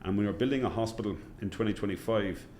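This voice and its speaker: A middle-aged man with a Cork Irish accent, answering interview questions as a hospital chief executive in a measured way, repeating phrases for emphasis. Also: fairly low pitch, a little mellow, slight background noise. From Cork, Ireland.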